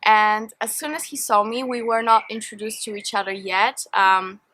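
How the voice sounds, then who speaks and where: A young woman from Poland, whose first language is Polish, chats casually to camera in an outdoor garden, answering viewer questions openly and filling gaps with many "uh"s. Her voice is bright and clear, and faint noise sits in the background. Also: medium pitch, steady tone, normal speed. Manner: chatty, open, with many fillers. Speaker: vlogger.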